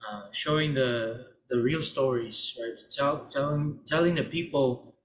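A man's voice: mid-range voice, a little slow, a bit bright, slight background noise, poor audio. A man in his thirties from American Samoa, hosting a podcast in casual chat, talking loosely with many restarts and fillers. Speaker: podcast host. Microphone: desk microphone.

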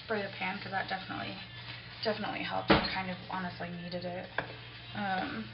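A woman's voice: medium pitch, little pitch movement, a little fast, creaky, heavy background noise. Young woman chatting casually, distant and roomy. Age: young adult. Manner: easygoing, rambling, self-excusing. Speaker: home cooking vlogger. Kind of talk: casual unplanned chat to camera while baking. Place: home kitchen, indoors. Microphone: camera mic a few feet away. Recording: roomy, distant, dull camera audio with music.

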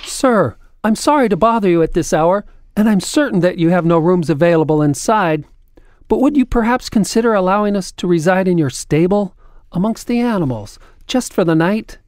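An actor playing a gentle, anxious young husband in a radio drama, polite, hesitant and earnest. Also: male voice, high voice, animated, moderate pace, no room sound, clean studio sound. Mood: hesitant pleading. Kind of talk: 1940s radio drama acting. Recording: music under the voice.

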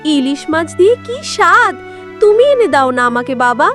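A woman's voice: big pitch swings, normal speed, fairly bright tone, faint music behind. A woman voicing a poor seven-year-old girl who keeps begging her parents, in a cartoon. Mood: pleading. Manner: high childlike pleading.

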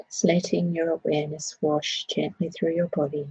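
A woman leading a guided body scan meditation, speaking in a soothing, gentle way with unhurried phrasing. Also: low-pitched, little pitch movement, breathy edge, no echo, lo-fi sound.

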